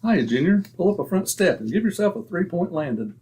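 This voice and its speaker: Man playing blustery, folksy husband, slightly husky. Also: high-pitched, big pitch swings, fairly quick, mellow, exaggerated voice. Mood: needling. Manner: folksy, slangy, grumbling. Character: blustery, boastful middle-aged husband telling tall tales. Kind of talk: old-time radio comedy acting.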